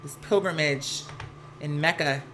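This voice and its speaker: A campy American host chats casually to a livestream with plenty of slang and sass. The voice is mid-pitched and notably bright and forceful. It is recorded at home with some household noise behind it. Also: a little slow. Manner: sassy, campy, slang-heavy. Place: at home, laundry running nearby. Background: laundry noise.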